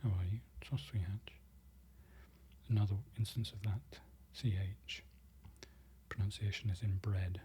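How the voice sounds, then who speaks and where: A presenter whispering an ASMR-style lesson, slowly repeating foreign words and correcting themselves. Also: low-pitched, fairly even intonation, slight background noise. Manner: whispering throughout, soft and close. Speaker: presenter learning Polish from a book.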